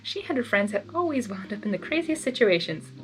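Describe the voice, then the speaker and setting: A woman's voice: mid-range voice, very animated, brisk, soft background music, poor audio. A woman doing a first-time reading of fan fiction, casual and chatty, breaking off with asides. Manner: casual, chatty, laughs at the text. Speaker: channel host, reader.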